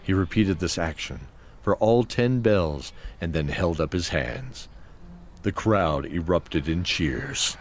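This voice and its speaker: A man's voice: low voice, expressive, slow, slight background noise. A man giving scripted narration of a fantasy story as its narrator.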